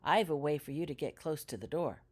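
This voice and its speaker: A woman voicing a practical, secretive schoolgirl witch in a storybook reading, with a brisk, instructing manner. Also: sits low, expressive. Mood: purposeful.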